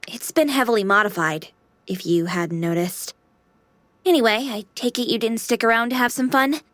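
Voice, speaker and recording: A woman's voice: on the high side, normal speed, a bit bright, no room sound, natural voice. An actress playing a cute, devoted AI maid girl in a video game, speaking in a polite but teasing, pert manner.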